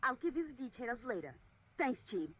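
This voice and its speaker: Sassy 1940s radio newswoman played by a woman, very animated, husky, lo-fi sound. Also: high-pitched, moderate pace. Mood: brisk, smug. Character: sharp-tongued, sarcastic young woman newspaper reporter. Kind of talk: 1940s radio serial acting.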